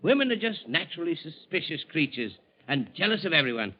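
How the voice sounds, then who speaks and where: An actor playing a chatty, excitable ship's steward, deferential yet familiar, in 1940s radio style. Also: male voice, a little high, fast talker. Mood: chatty, nosy. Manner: deferential but familiar, animated. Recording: muffled 1940s radio recording.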